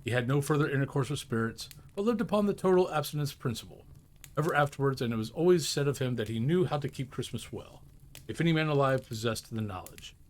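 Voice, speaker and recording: Male narrator, storybook reading, mid-pitched, highly varied intonation. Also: animated, fast.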